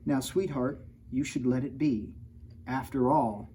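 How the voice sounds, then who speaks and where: A man voicing a firm, protective storybook mother who chides her children in a measured, emphatic way. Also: medium pitch, warm tone, slight background noise, natural voice. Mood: soothing.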